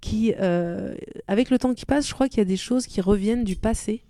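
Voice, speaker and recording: A woman's voice: medium pitch, normal speed, dark tone, no room sound, clean studio sound. A woman whose first language is Arabic, a singer-songwriter talking thoughtfully about her music in an interview, often restarting as she looks for the right words. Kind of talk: relaxed interview chat.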